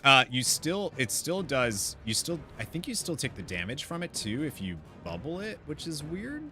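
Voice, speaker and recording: A man streaming a video game, chatting in an informal, slangy, expressive way and reading quest text aloud. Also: a little high, moderate pace. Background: game music.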